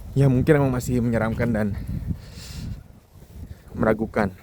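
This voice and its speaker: A man's voice: medium pitch, fairly even intonation, normal speed, gravelly, background noise. A young man from Indonesia with an Indonesian accent, giving a casual, conversational monologue to viewers and tossing questions at them. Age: young adult. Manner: informal, conversational, addresses the audience with questions. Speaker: vlogger, foreign student.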